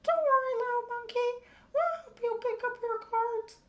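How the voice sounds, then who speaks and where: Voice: extremely high pitch, moderate pace, a bit bright, roomy sound, voice pushed up. A storybook narrator voicing an eager, helpful little child in a children's tale. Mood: eager, reassuring. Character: bright excited little child helper.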